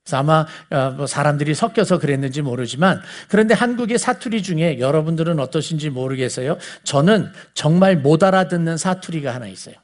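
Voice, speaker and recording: A man's voice: fairly high pitch, expressive, a little fast, hardly any pauses. A man with a Seoul accent giving a conversational Bible teaching, explaining things and putting questions to his listeners. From South Korea. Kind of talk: conversational sermon lecture to a congregation.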